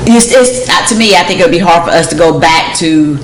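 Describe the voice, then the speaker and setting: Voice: mid-range voice, expressive, a little fast, background noise. Skeptical voice in an echoey room. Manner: skeptical, interjecting. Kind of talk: casual discussion.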